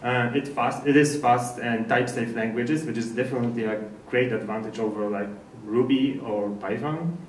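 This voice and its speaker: A man's voice: mid-range voice, moderate pace, few pauses. Polish man presenting in an echoey room. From Poland. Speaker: speaker at a developer meetup. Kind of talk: informal technical presentation. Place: indoor meetup presentation room. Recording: echoey room, distant mic, faint noise.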